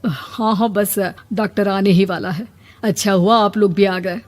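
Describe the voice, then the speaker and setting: A woman's voice: medium pitch, steady tone, a little slow, darkish tone, lightly acted. A woman voicing a sentimental, needy older father-in-law in a cartoon moral story. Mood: sheepish. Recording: long reverb over background music.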